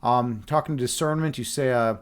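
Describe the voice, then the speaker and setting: A man's voice: medium pitch, brisk, clean tone. A young man with a Chicago accent, casually chatting with viewers on a live stream, laid-back and chatty, calling people man and dude. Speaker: streamer and chess instructor.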